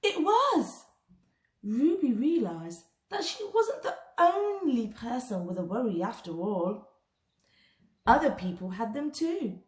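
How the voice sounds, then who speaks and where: Expressive, slow-paced woman reading a storybook. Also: on the high side. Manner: dramatic, deliberate delivery with pauses for young listeners. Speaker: storybook reader. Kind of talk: expressive children's picture book read-aloud. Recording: echoey room.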